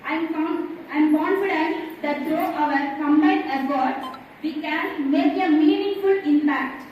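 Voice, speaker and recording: A woman with an Indian English accent delivers a prepared welcome address in a formal, read-aloud manner. Her voice sits high and is picked up from a distance. The hall rings with heavy echo and a faint hum of noise. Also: slow pace, lo-fi sound. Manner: formal, reading a speech aloud. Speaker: welcome speaker at a school conference.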